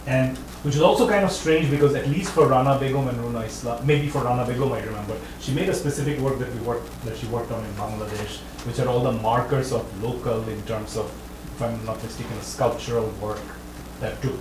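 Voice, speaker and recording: A man answers an audience question in a roomy lecture hall, weighing his words as he goes and often stopping to correct himself. He sits a little back from the mic, and steady background noise runs under his speech. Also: mid-range voice, brisk. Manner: thoughtful, hedging, self-correcting. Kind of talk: academic talk Q&A answer. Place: lecture room. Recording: echoey room, distant mic, background noise.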